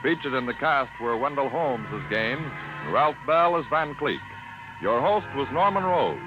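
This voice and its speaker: A man's voice: mid-range voice, lively intonation, normal speed. A man with an American accent announcing in a scripted 1950s radio style. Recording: muffled vintage radio broadcast, music behind.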